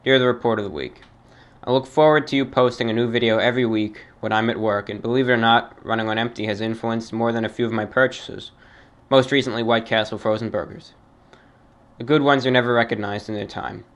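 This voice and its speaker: A man hosting his own show, reading fan emails aloud and answering them in a formal, measured, somewhat halting way. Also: fairly low pitch, a bit bright. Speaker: host reading fan mail. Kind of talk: radio-style monologue reading emails.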